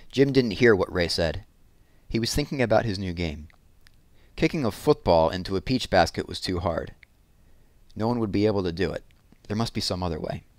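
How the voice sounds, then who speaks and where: A man's voice: sits low, dry recording. A man reading a children's story aloud as a storyteller.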